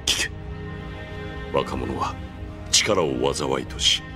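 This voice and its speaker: An actor playing a calm, manipulative master swordsman in an anime audio drama, speaking in a measured, persuasive way. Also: rumbling low, full character voice. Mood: grave, secretive. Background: music.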